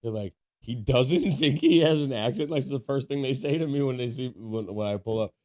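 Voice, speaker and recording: A man's voice: medium pitch, brisk, darkish tone. A man co-hosting a casual podcast, teasing his friend, laughing often and explaining things at length. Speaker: podcast co-host. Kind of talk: casual chat.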